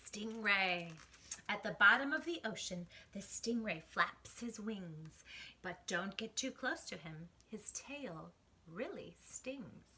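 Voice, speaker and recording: A woman hosting children's storytime, reading a rhyming picture book aloud in an animated, expressive way. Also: mid-range voice, takes it slowly, slight background noise. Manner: animated, exclaiming, dramatic hushes.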